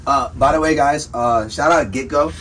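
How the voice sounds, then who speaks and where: A raspy-voiced man hosting a casual conversation, laid-back and rambling with lots of fillers. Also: mid-range voice, normal speed, fairly bright tone, far from the mic, slight background noise. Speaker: podcast host.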